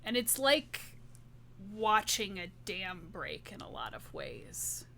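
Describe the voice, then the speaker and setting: Female tabletop player, bright forceful voice, unhurried dramatic phrasing, faint noise behind. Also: medium pitch, sing-song, creaky. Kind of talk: narrating her character's actions in a roleplaying game.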